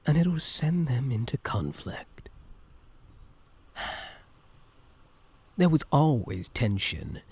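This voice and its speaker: A man playing an obsessive, menacing yandere supervillain in an intimate, theatrical ASMR roleplay, with a breathy, deliberate delivery. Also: lowish voice, darkish tone, dry recording, full character voice.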